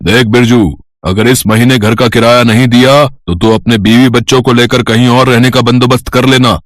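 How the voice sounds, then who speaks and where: A man voicing a stern, demanding landlord in an animated storybook tale. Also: mid-range voice, moderate pace, no room sound, studio quality, natural voice. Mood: threatening. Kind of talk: animated storybook cartoon dialogue.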